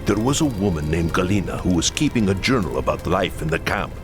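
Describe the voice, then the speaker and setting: Brief: a solemn fantasy camp chief, low voice with wide swings, game score underneath. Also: male voice, sing-song, a little fast. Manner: earnest and solemn, pleading at times. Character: grave, proud Kislevite camp leader, middle-aged man. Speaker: leader of a refugee camp. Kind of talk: game cutscene voice acting. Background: orchestral game music.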